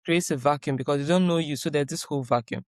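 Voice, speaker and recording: A woman guest in a conversational interview, reflective and calm, repeating her key phrases for emphasis. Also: deep voice, fast talker, no echo, clean studio sound. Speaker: guest, doctoral researcher.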